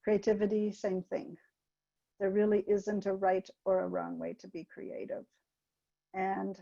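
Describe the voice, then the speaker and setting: An older woman giving a webinar talk, gentle and hesitant, with many ums, sharing anecdotes over a video call. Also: mid-range voice, gravelly, no echo, muffled recording. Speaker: webinar presenter. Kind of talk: informal teaching talk with anecdotes.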